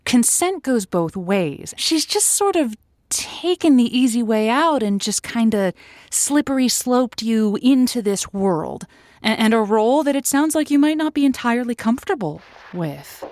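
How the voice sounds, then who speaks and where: A woman's voice: on the high side, expressive, measured pace, a little mellow, dry recording, studio quality. A woman playing a chatty, affectionate advice show host who talks in a flirty, familiar way and uses pet names. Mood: sympathetic, advising. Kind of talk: improvised comedic call-in chat.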